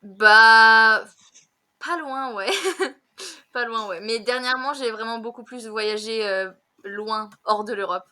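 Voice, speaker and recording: A young woman teaching conversation over a video call, speaking quickly with frequent giggles and "euh" fillers. Her voice is bright and forward. She sounds relaxed and chatty. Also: a little high. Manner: fast-talking, giggly, many fillers. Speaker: French conversation tutor. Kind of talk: casual conversation lesson.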